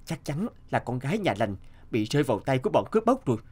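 An animated folktale hero, young, brave and kind. His delivery is earnest and slightly hesitant, with very lively swings in pitch. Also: fast talker, breathy edge. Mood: urgent, earnest. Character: brave, kind young man rescuing a princess.

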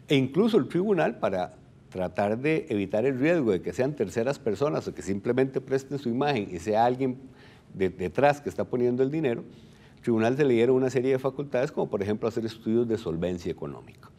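A man's voice: mid-range voice, mellow. A middle-aged Costa Rican man speaking as an expert guest in a television interview, explaining things didactically. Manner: didactic, poses and answers own questions. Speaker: electoral registry director, interview guest. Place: television studio. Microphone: lapel mic.

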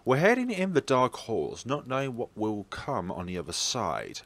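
A man's voice: medium pitch, huge pitch swings, normal speed, a bit bright. A man hosting a let's play, reading a visual novel aloud theatrically and adding wry, playful asides. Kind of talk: playful gaming commentary and expressive read-aloud of a visual novel.

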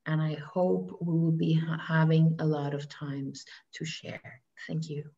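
A young woman from Costa Rica gently introducing herself and welcoming people on a video call. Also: low-pitched, little pitch movement, unhurried. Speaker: ceremony host. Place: indoor room. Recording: muffled video call audio.

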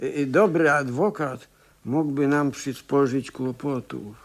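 An actor playing a fussy, deferential expert who stammers and hesitates in a 1970s radio play. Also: male voice, medium pitch, fairly even intonation, unhurried, lightly acted. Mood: nervous, hesitant. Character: timid, fussy male expert witness, deferential.

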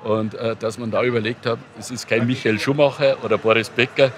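A man's voice: mid-range voice, fairly even intonation, a little fast, crowd noise. A man, a former mayor, talking off the cuff in an interview. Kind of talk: spontaneous interview talk.

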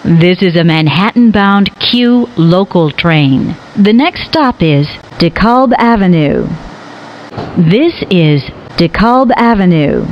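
A woman giving recorded train announcements in a measured, formal way. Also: fairly low pitch, animated. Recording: dull, noisy recorded transit announcement.

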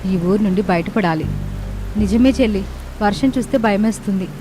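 A woman's voice: medium pitch, moderate pace, lightly acted. A woman playing earnest, caring young village sisters in a moral story cartoon, with a dramatic delivery. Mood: concerned, determined. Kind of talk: animated moral story dialogue. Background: background music.

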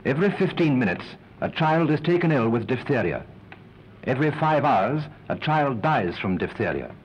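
A British man with a husky voice narrating a 1940s public information film as a grave, formal warning. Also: medium pitch, big pitch swings, moderate pace, slight background noise. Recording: dull 1940s film soundtrack.

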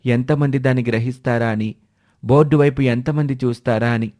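A man voicing a kind, principled schoolboy who gently moralizes in a children's story. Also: mid-range voice, steady tone, a little fast, close to an everyday voice. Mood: calm admonishing.

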